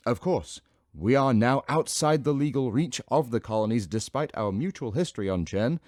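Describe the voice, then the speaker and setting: An actor playing a professor who narrates a formal history lecture in a measured way. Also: male voice, mid-range voice, darkish tone, no echo, studio quality, lightly acted. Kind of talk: scripted narration.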